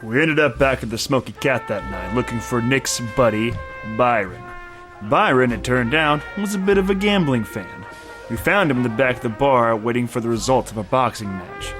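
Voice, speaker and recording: Hard-boiled private eye, male actor for noir audio drama: impatient, slangy, slightly high male register. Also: lively intonation, moderate pace, over music, close to an everyday voice. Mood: wry, narrating. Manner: gruff, wry, streetwise slang.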